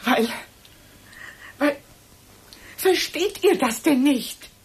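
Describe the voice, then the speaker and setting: An actress playing a desperate, cornered woman in a German radio drama, pleading in halting, agitated standard German. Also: high voice, lively intonation, slight background noise, exaggerated voice. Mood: bitter, agitated. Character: desperate, cornered woman defending herself against murder suspicion.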